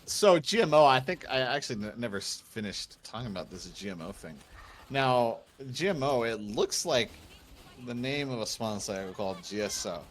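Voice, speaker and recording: A man's voice: mid-range voice, expressive, moderate pace, a little hiss or hum. A man commentating a video game tournament on a casual livestream, breaking down the play with dry joking asides.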